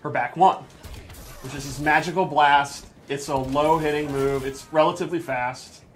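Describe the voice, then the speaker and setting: Higher-pitched man presenting gameplay. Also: steady tone, moderate pace, faint music behind. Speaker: presenter of a game character breakdown. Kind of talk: casual gameplay commentary.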